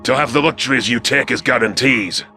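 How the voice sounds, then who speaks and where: A man's voice: medium pitch, brisk, vocal fry, bright, forceful tone, soft background music. A man voicing a meek, literal-minded reptilian alien soldier in a sci-fi audio drama, earnest and hesitant. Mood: sour.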